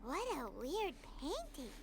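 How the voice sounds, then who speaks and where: An actress playing a tiny, timid, childlike fairy companion with a whiny manner in an animated video game. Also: female voice, high voice, a little slow, exaggerated voice. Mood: curious, wary. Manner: speaks of self in third person, whiny. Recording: game music loud behind voice.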